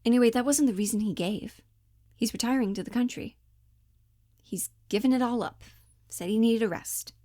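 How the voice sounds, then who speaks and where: A woman's voice: fairly high pitch, normal speed, long pauses, dark tone, no echo, clean studio sound, lightly acted. A woman playing an earnest, mildly condescending male office worker, hesitant and placating, in a cold-read stage play. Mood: matter-of-fact.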